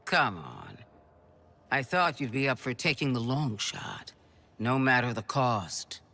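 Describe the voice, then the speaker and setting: An actor playing a blunt, commanding task force boss in a video game, with a husky, gravelly voice and curt authority. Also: male voice, mid-range voice, big pitch swings, takes it slowly, pushed and bright, full character voice. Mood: dry, pressing.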